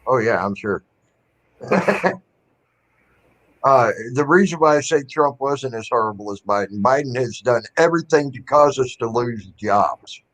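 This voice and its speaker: A man's voice: medium pitch, expressive, unhurried, a bit bright, rough recording. A man hosting a casual livestream chat, rambling and swearing freely as he airs strong opinions.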